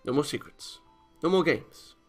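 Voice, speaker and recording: A man's voice: fairly high pitch, normal speed, husky. A man hosting a let's play, reading a visual novel aloud with casual comments and often stumbling over lines. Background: game music.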